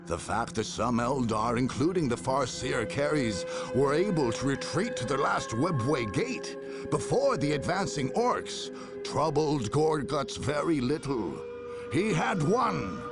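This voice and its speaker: Slow, gravelly grave war chronicler. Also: male voice, mid-range voice, big pitch swings, loud music behind the voice. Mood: grave, ominous. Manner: grave, deliberate, portentous. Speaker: narrator of a game campaign. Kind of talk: dramatic scripted narration.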